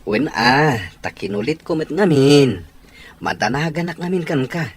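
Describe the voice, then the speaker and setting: An actor with an Ilocano Filipino accent playing an attentive, caring young husband in a radio drama. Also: male voice, a little high, animated, normal speed, slight background noise, close to an everyday voice. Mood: tender. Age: young adult.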